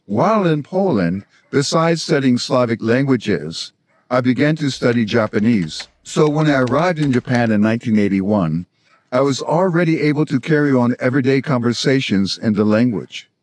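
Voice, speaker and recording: A man in his sixties from South Florida with an American accent, presenting scripted language-learning instruction in a measured, explanatory way. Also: medium pitch, animated, no echo. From South Florida, United States. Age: 60s. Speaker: language-learning video host, polyglot. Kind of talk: scripted instructional narration to camera.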